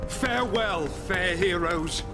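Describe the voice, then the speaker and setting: An actor playing a bombastic medieval knight lord in a video game, with theatrical, archaic declamation. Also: male voice, high voice, takes it slowly, over loud music. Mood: noble, grand.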